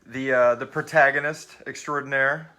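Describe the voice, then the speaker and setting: A man's voice: medium pitch, fairly even intonation, normal speed, crowd noise. Easygoing man hosting a casual group chat. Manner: informal, rambling, sweary, easily amused. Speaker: host of a live question-and-answer special for an audio drama. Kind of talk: casual chat, reading out listener questions. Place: live stream with a group of castmates in the room.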